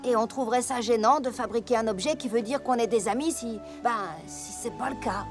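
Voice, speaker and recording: A woman's voice: on the high side, brisk, music in the background, lightly acted. A woman voicing a polite, earnest young female pony in a French cartoon dub. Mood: hesitant, apologetic.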